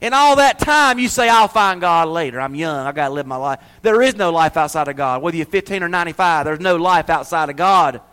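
A man preaching a sermon to his church, swinging from loud emphasis to hushed asides. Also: a little high, expressive, a little fast, few pauses, clear, resonant tone. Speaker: pastor.

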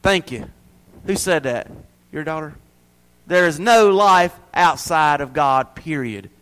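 Man, pastor, high voice, church sermon, loud emphasis, hushed asides. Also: lively intonation, slow, clear, resonant tone.

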